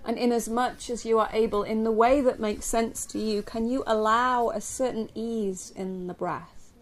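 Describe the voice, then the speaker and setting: A woman from England leading a guided yoga nidra meditation for a group, speaking gently and slowly with long pauses. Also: a little high. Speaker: yoga nidra teacher guiding a relaxation. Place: live group session at a camp. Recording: steady ambient noise, live recording.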